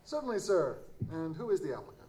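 Man acting a polite clerk with lively intonation. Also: on the high side, quick pace. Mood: polite, helpful. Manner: courteous, hesitant, explanatory. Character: polite, cautious male insurance company phone clerk. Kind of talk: audio drama dialogue. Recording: noisy live stage recording.

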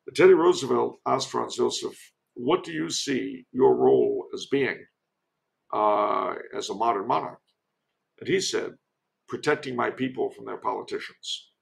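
Gravelly, creaky man giving historical commentary. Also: mid-range voice, big pitch swings, low-quality recording. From United States. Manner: discursive, erudite, halting delivery. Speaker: podcast guest, author and commentator.

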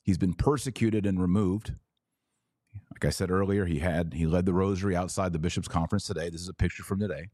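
A man hosting an opinionated commentary show, speaking emphatically and indignantly, with stressed pauses on key words. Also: deep voice, fairly even intonation, warm tone, no echo, studio quality. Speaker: podcast host and commentator. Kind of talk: opinionated news commentary to viewers.

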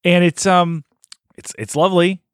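A man's voice: sits high, clear, resonant tone, no echo, studio quality. A man co-hosting a podcast, chatting casually and laughing easily. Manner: lively, animated, quick laughs. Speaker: podcast co-host. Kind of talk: casual chat.

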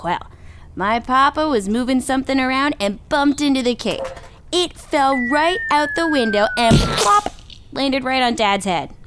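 A woman playing an excitable young child who tells tall tales in a theatrical, animated way for a children's story. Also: sits high, measured pace, background noise. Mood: lively, amused. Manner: theatrical, exuberant, acts out voices.

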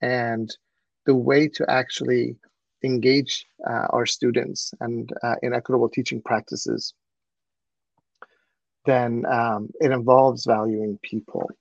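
Male presenter, Persian first language, gravelly edge, muffled lo-fi video call audio, earnest with many fillers. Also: medium pitch, little pitch movement, slow, dry recording. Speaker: presenter, mathematics educator. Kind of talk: webinar presentation.

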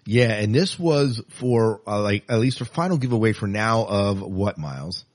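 A man's voice: lowish voice, expressive, normal speed, darkish tone, dry recording. A man hosting a podcast in a casual, chatty and enthusiastic way. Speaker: podcast host.

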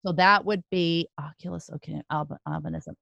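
A woman's voice: low-pitched, fairly even intonation, moderate pace, gravelly, a bit bright, no room sound, lo-fi sound. A woman in a casual podcast chat, playfully thinking aloud as she guesses quiz answers.

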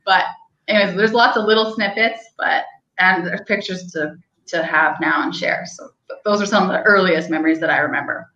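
A woman's voice: on the low side, steady tone, a little fast, bright, forceful tone, echoey room, highs cut off. A young woman, a hunting guide and outfitter, telling stories in a casual, rambling way with lots of "like" and light laughter. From Canada. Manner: chatty, rambling, with frequent likes and laughs. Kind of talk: casual interview storytelling.

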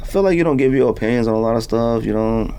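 A man's voice: mid-range voice, quick pace, slight background noise. A man hosting a podcast, chatting casually and informally with lots of slang. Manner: animated, slangy, informal talk. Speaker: podcast host. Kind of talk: casual podcast chat about sneakers.

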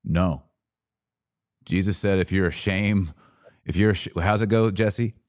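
A man with a fairly low voice hosting a podcast, speaking earnestly and conversationally. The sound is dry and close, with no room around it. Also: measured pace, a little mellow, dry recording. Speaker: podcast host. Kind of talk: casual conversation.

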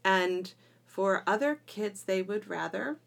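A woman's voice: medium pitch, lively intonation, slow, gravelly. A middle-aged woman talking conversationally as a podcast co-host, explaining things with emphatic phrasing. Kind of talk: conversational educational podcast discussion.

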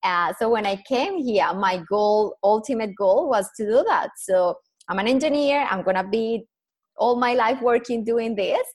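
A woman's voice: fairly high pitch, moderate pace, a little mellow. A woman from Colombia with a Colombian accent, a non-native English speaker, telling her story earnestly as a podcast guest. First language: Spanish. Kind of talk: podcast interview.

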